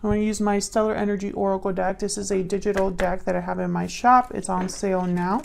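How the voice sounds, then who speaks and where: A woman giving a casual intuitive tarot reading to viewers, musing and pausing as she thinks aloud. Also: mid-range voice, steady tone, creaky, darkish tone, a little hiss or hum. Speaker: tarot reader.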